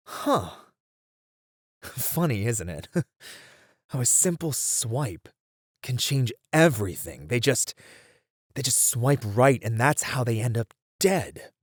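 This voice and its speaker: A man playing a driven detective who thinks aloud in halting phrases, in a dramatic ASMR roleplay. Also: mid-range voice, no room sound, clean studio sound. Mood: intent, deductive, then regretful, grim. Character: driven male detective piecing together a serial killer case. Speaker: voice actor in a roleplay. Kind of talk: ASMR roleplay, dramatic one-sided dialogue.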